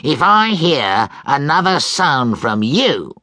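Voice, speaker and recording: A grumpy old miser snaps out each word with scorn, as a man reading a storybook puts him on. The voice climbs high and swings wildly in pitch, dragging slowly over its complaints. The recording is close and dry. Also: big pitch swings, sharp tone, dry recording, full character voice. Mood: cross. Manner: snapping, scornful.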